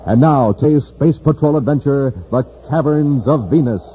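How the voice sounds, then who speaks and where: A man's voice: mid-range voice, moderate pace. A man announcing and narrating a 1950s radio show with an emphatic, theatrical showman delivery. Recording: muffled old radio recording, faint noise.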